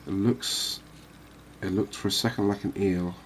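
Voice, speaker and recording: A man doing soft-spoken ASMR game commentary in an unhurried, calming, reassuring manner. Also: low voice, a little hiss or hum, low-quality recording. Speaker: host of a cozy gaming channel.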